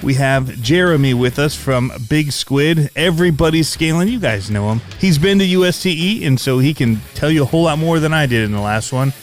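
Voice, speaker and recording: A man hosting a casual podcast chat, upbeat and quick to laugh. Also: on the high side, faint music behind. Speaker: podcast host.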